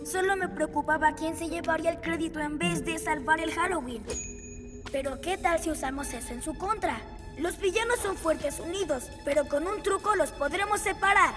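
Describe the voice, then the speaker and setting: A woman's voice: extremely high pitch, moderate pace, big-room sound, over music. A woman voicing an earnest, reflective child superhero in a cartoon, with a Latin American Spanish accent. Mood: thoughtful. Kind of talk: animated cartoon dub. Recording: loud cartoon score behind voice.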